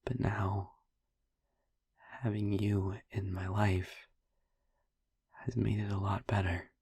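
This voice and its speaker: Man acting as tender vampire boyfriend, wistful, very breathy, unhurried, close dry mic, intimate audio roleplay. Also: level tone, darkish tone, no echo, exaggerated voice. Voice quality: husky, breathy, gravelly low voice. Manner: tender, hesitant, slow with pauses. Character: gentle, wistful male vampire boyfriend, youthful, haunted by past. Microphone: close, dry microphone.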